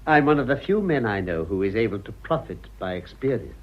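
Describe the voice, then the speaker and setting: An actor playing an urbane, cynical older British gentleman in a radio drama, with dry, suave irony. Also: on the low side, big pitch swings, brisk. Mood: unruffled. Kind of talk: radio drama acting of a stage comedy. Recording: dull lo-fi radio drama recording.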